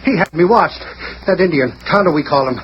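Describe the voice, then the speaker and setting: A man's voice: a little high, lively intonation, a little fast, lightly acted. A man acting a cowardly, frightened crooked doctor in a 1940s radio western, agitated and urgent, on a muffled, noisy recording.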